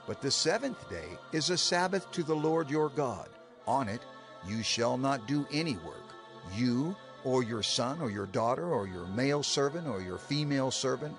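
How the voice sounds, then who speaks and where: An actor playing a male prophet and leader in a dramatized scripture reading, solemnly exhorting his people in an emphatic, oratorical manner. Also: medium pitch.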